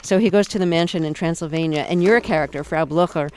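A woman's voice: fairly low pitch, quick pace, a little mellow, slight background noise. A woman interview host who laughs easily and sets up clips for her guest.

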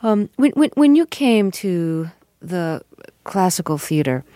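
A woman hosting a radio interview, asking measured, precise questions and reading the closing credit. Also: lowish voice, big pitch swings, dark tone, dry recording, clean studio sound.

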